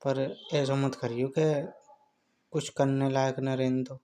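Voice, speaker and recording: A man in a casual phone chat, rambling and teasing in a drawn-out way. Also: medium pitch, level tone, mellow, a little hiss or hum. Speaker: caller in a couple's phone chat. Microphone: phone call.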